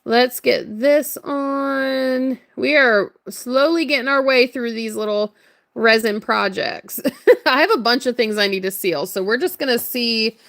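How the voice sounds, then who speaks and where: A woman with a hoarse, worn voice, chatting casually and greeting viewers while crafting on a livestream. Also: a little high, measured pace, fairly bright tone. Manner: chatty, upbeat, laughs often. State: hoarse, voice strained from hours of talking. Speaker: livestream crafter and shop owner.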